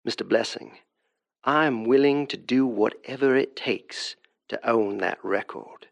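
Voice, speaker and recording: Creepy rich collector, gravelly creaky voice, super polite but pushy, audio drama. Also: male voice, mid-range voice. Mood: politely insistent. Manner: formal, deliberate, insistent. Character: sinister, polite, insistent wealthy male collector.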